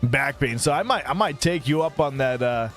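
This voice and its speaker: A man's voice: mid-range voice, expressive, normal speed, fairly bright tone, soft background music. A man in his mid-thirties chatting casually on a live stream, rambling between topics and thanking viewers by name. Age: 30s.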